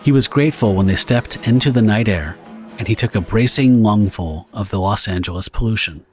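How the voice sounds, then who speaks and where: A man with a fairly deep voice reads scripted narration for a horror audio drama. He tells the pulp story as its narrator, with light plucked guitar music playing underneath. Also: a little fast, a little mellow.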